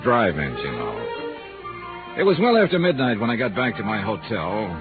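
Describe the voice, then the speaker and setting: A man's voice: on the low side, big pitch swings, normal speed. A man playing a wry, easygoing insurance investigator in 1950s radio detective style, with an American accent and casual, wisecracking delivery. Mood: wry narration. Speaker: radio drama lead and narrator. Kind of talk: 1950s radio detective acting, first-person narration. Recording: tinny 1950s radio recording with music.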